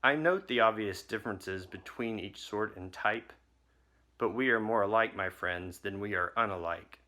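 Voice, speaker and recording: A man lighting the chalice at a service and reading a poem in a measured way. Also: on the low side, bright, forceful tone.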